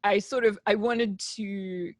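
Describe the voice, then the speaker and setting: A woman's voice: mid-range voice, fairly even intonation, slow, no room sound, clean studio sound. A woman in her forties with an Australian accent, answering interview questions in a chatty, storytelling way as a composer.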